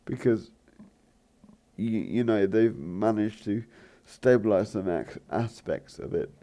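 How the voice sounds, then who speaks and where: A man hosting a gaming channel, talking in a rambling, hesitant way with lots of fillers and restarts, his voice croaky. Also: on the low side, slow, dark tone. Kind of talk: rambling let's play commentary.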